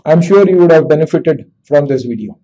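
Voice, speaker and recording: A man with an Indian accent demonstrating dictation software, speaking slowly and deliberately as if to a computer. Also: on the high side, animated, highs cut off. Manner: slow, deliberate dictation with spoken punctuation. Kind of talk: screen-recorded software tutorial with dictation.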